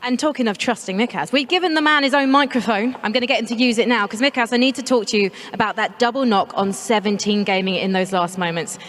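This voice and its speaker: A woman's voice: a little high, fast, few pauses, a bit bright. A woman working as an esports on-site interviewer, bubbly and teasing with the players. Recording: echoey arena hall.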